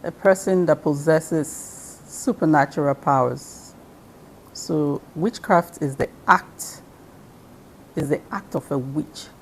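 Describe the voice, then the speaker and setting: Low-voiced woman explaining slowly. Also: slight background noise, low-quality recording. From Nigeria. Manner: slow and measured, with frequent um and uh fillers. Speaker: interview guest, spiritual practitioner. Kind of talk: explanatory conversational interview.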